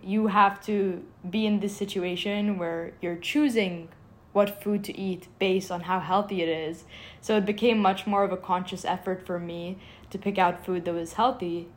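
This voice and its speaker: A young woman from Egypt, a startup founder, answering interview questions in an earnest, eager way with lots of "like" fillers. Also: medium pitch. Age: young adult.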